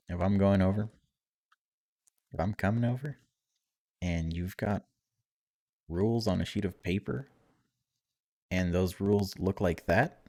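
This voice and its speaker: A man's voice: on the low side, a little mellow. A man giving a casual, chatty let's-play, reading game text aloud and adding wry asides. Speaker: video game streamer.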